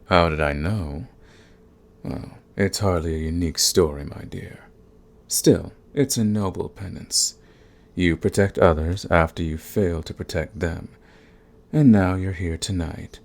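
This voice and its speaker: An actor playing a suave, sadistic vampire lord in an ASMR roleplay, with a theatrical, silky, condescending manner and a husky, gravelly voice. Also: male voice, sits low, darkish tone, heavily acted. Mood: taunting. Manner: theatrical, silky, condescending endearments, drawn-out phrasing. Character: suave sadistic male vampire lord, predatory, mocking, possessive.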